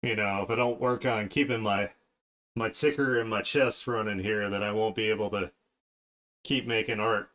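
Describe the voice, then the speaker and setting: A man with a fairly low voice hosts a casual art stream. He rambles conversationally to his viewers, talking as he works. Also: fairly even intonation, moderate pace, fairly bright tone. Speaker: art streamer hosting a livestream. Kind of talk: casual livestream chat while drawing.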